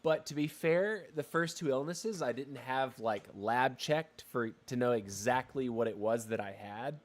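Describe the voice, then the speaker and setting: A man chats to his livestream audience in an easygoing, rambling way. His voice sounds a bit congested and his throat slightly scratchy. He speaks slowly at a medium pitch. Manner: chatty, relaxed, rambling asides. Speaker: game streamer. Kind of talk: casual livestream chat.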